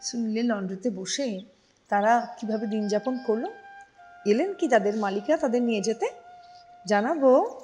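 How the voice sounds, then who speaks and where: A woman storyteller with a fairly high voice narrates in an expressive recitation style. She presents her scripted tale over music. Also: normal speed, long pauses.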